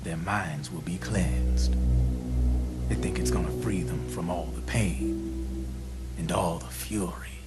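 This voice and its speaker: An American actor playing a slick, talkative arms dealer in a video game cutscene, with streetwise, theatrical, drawn-out phrasing. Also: male voice, low voice, steady tone. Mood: grave, dramatic. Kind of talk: video game cutscene acting, explanatory monologue. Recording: loud music over the voice.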